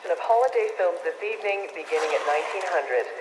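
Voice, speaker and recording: An actor playing a formal, official public-address announcer in a video game. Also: male voice, high voice, lively intonation, quick pace. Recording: echoing loudspeaker, noisy outdoor ambience.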